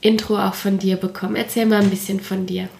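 A woman's voice: medium pitch, little pitch movement, fast talker, gravelly, darkish tone, slightly rough. Enthusiastic female podcast host. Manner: enthusiastic, many fillers. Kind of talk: casual podcast interview. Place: cozy living room.

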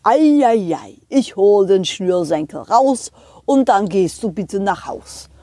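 A woman voicing a good-natured, fussy male train driver in a children's audio play, with a drawn-out, folksy way of talking. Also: mid-range voice, animated, dark tone, no room sound. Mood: fussing, kindly. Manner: drawn-out, chuckling, ends questions with "hä".

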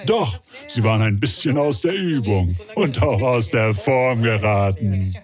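An actor narrating a comic adventure as a theatrical, mock-dramatic storyteller in an audio play. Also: male voice, mid-range voice.

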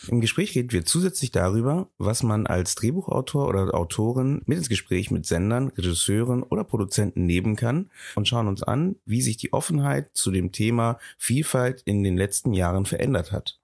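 Man, lowish voice, dry close sound, interview moderator, measured. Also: lively intonation, vocal fry, a little mellow, dry recording. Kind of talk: scripted introduction, then interview questions.

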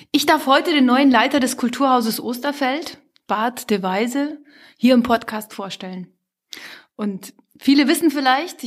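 A woman presenting and interviewing a guest for a local podcast, friendly and engaged. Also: fairly high pitch. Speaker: interviewer, chair of a support association.

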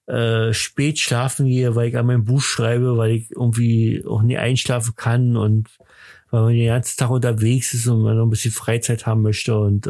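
A man hosts a podcast in an ordinary mid-pitched voice. He rambles casually and haltingly, with a mock self-important streak. The sound is close and dry. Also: a little mellow, no echo. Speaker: podcast host.

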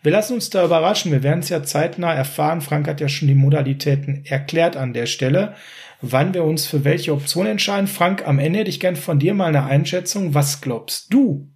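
Chatty German podcast host, slightly high voice. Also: male voice, fairly quick, darkish tone. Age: middle-aged. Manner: chatty and direct, speaks to listeners, tags "ne?". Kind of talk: casual sports podcast discussion.

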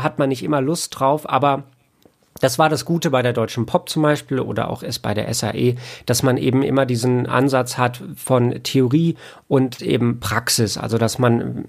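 The speaker is a man with noticeable fry in his voice. He explains his trade in loose, colloquial interview talk. He tends to circle back and rephrase as he builds a point. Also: mid-range voice. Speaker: interview guest, audio engineer and audiobook editor.

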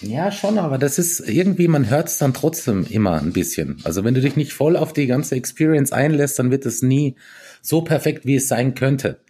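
A man from East Tyrol, a band musician, talking casually in an interview, relaxed and chatty with many fillers and restarts. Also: medium pitch, normal speed, slightly rough. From East Tyrol, Austria.

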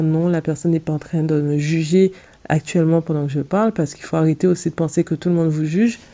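A woman's voice: fairly low pitch, dark tone, dull audio. A woman whose first language is English, hosting a solo podcast and giving direct, earnest advice with frequent fillers and restarts. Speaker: podcast host and English coach.

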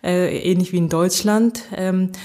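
Woman in her forties, fairly low voice, searching for words as an interview guest. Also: steady tone, a little slow, dark tone. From Japan. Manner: hesitant, many fillers and restarts, light laughs. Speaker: interview guest, agricultural researcher. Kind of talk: casual interview.

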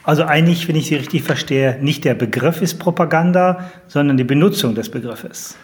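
A man's voice: on the high side, a little fast. A mature man from West Germany asking serious, considered questions as an interviewer.

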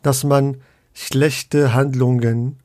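A man's voice: medium pitch, flat delivery, very slow, darkish tone, no echo, clean studio sound. A man from Morocco, a guest scholar of Islamic theology in a podcast interview, speaking hesitantly with many fillers and restarts.

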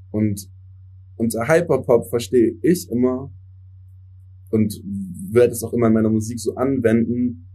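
A young man, a native English speaker, giving relaxed interview answers as a musician and mixing English words into his speech. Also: mid-range voice, husky. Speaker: musician guest.

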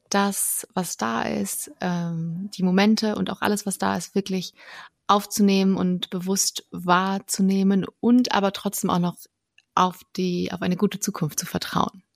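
A woman with a slight cold, talking thoughtfully as an interview guest, with many pauses and fillers. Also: mid-range voice, fairly even intonation, gravelly, no room sound, studio quality. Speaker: guest, memory champion and author. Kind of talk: relaxed conversational interview.

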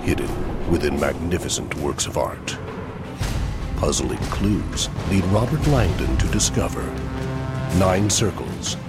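Male advert voice-over. Very low and slow, ominous, over loud music. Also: expressive. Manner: ominous, measured. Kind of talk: dramatic scripted ad narration.

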